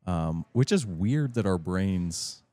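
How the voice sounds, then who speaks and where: Deep low guy podcast cohost, mellow warm tone, dry close mic, rambles thoughtfully. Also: animated, slow pace, dry recording. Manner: loose, reflective, filler-heavy talk. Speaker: podcast co-host. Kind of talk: casual chat.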